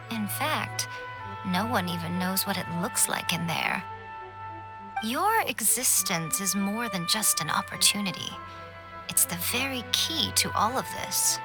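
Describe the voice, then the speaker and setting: A woman voicing a sly, poised fox spirit in a video game, speaking in a measured, formal, teasing way with silky self-assurance. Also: mid-range voice. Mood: coolly persuasive. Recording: music under the voice. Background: background music.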